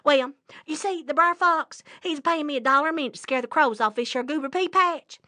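Lady doing a sly trickster boy rabbit, high n bright, excitable talk, storybook style. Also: brisk, no room sound. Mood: bragging. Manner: folksy, excitable, smooth-talking. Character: wily, fast-talking male trickster rabbit. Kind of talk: animated folk-tale storytelling.

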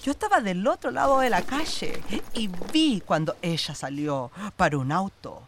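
An actress plays a gossipy neighbour woman, effusively pushing her wares. Her mid-pitched voice is theatrical radio drama, recorded with clear background noise and clicks. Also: lively intonation, close to an everyday voice. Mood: gossipy, uneasy. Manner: effusive, gossipy saleswoman patter with drawn-out words. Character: chatty, pushy, theatrical neighbour woman selling plastic containers.

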